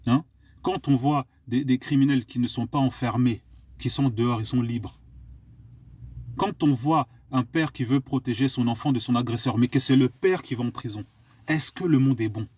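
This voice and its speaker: A man's voice: medium pitch, moderate pace, darkish tone, breathy edge, dry recording. A man from France preaching to his listeners, repeating pointed questions and coughing at times. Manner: exhorting, repeats rhetorical questions. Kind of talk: live online sermon.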